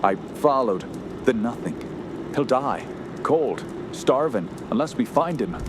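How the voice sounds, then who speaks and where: An actor playing a haunted young wilderness survivor in a radio horror play, grave, solemn and theatrical. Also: male voice, medium pitch, sing-song. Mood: distressed. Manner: slow, grave, solemn, halting when distressed. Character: haunted young male wilderness survivor narrating his terror. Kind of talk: dramatic radio horror narration and acting. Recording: constant outdoor ambience noise behind voice.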